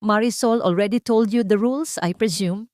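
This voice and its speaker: A woman's voice: mid-range voice, moderate pace, a little mellow, no echo, clean studio sound, pitched above the performer's own voice, lightly acted. Haughty lady of the house, played by a woman narrator: icy, curt orders, storybook drama. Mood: cold, imperious. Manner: cold, curt, commanding. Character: cold, haughty wealthy mistress of the house.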